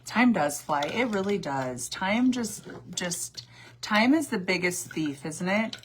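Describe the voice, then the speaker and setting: A woman's voice: medium pitch, lively intonation, slow pace, slight background noise. A woman in her forties chatting casually on a livestream while doing her makeup, sassy and chatty, answering viewer comments and laughing often. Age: 40s. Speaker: livestream host.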